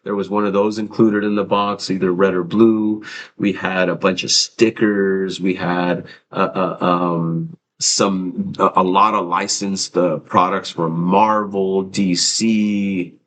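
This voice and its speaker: Streamer host, low male voice, rambling and profane, light background noise. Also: level tone, slow, a bit bright, poor audio. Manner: rambling, casual and profane, many fillers and restarts. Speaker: livestream host. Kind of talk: casual livestream chat.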